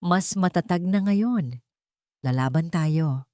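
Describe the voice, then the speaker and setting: A woman's voice: on the low side, slow pace, darkish tone, no room sound. Filipina narrator doing young orphan heroine girl, filipino woman, earnest, kinda tentative, romance audio, dry. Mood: determined.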